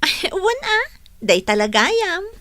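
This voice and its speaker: A woman's voice: very high voice, big pitch swings, takes it slowly, a bit bright, no room sound, full character voice. A woman playing a troubled but helpful young student and grateful friend in a radio drama. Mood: friendly.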